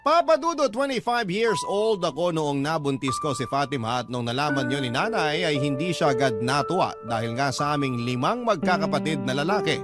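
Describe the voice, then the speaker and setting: A male actor as a young Filipino father telling his story in a radio love drama. His voice sits a little high. Loud background music runs beneath the confession. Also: expressive, a little fast, hardly any pauses, natural voice. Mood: confessional. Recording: music bed under the voice.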